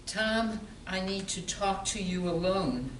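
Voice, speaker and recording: Woman acting slow-talking undercover barmaid, echoey room. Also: mid-range voice, animated. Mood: nervous. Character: flirty barmaid who is secretly an undercover cop. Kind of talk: amateur radio play acting. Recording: roomy, distant mic, faint hiss.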